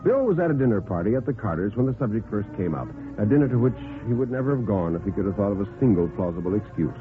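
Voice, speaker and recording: A man's voice: on the low side, expressive, fast talker. An American man narrating a scripted horror story in the style of 1950s radio drama. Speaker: narrator of a radio horror play, performing every part. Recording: vintage radio recording, phone-like band, music behind.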